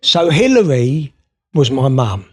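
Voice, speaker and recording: A man's voice: mid-range voice, very animated, slow pace. A man guesting on a podcast, talking openly and at length with many fillers and restarts. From Scotland. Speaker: podcast guest, therapist and author. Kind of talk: conversational interview.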